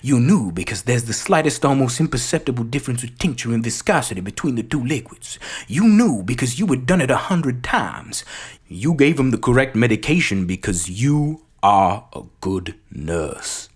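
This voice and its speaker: An amateur performer reciting a film monologue as a theatrical, grandiloquent gentleman detective with a husky voice. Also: medium pitch, lively intonation, moderate pace. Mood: solemn, gentle. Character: theatrical gentleman detective, dramatic, deliberate, righteous.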